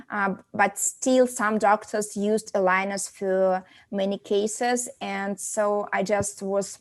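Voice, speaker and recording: A woman from Russia with a Russian accent, answering as an interview guest in a conversational way, often repeating and rephrasing as she explains. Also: mid-range voice. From Moscow, Russia. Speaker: interview guest, company founder and doctor.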